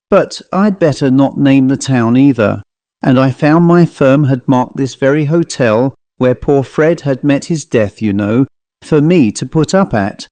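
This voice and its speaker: A man's voice: fairly high pitch, darkish tone, dry recording, lightly acted. A man reading in character as a chatty, genial commercial traveller telling an anecdote in colloquial style for an audiobook ghost story. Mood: amiable, confiding. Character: dapper commercial traveller, chatty male raconteur of ghost stories.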